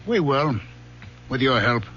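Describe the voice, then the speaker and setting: An actor playing a firm, dry, world-weary police lieutenant in a radio drama. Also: male voice, mid-range voice, expressive, unhurried, fairly bright tone. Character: tough, fatherly middle-aged male police lieutenant. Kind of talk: 1970s radio drama acting. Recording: dull old radio broadcast with faint hiss.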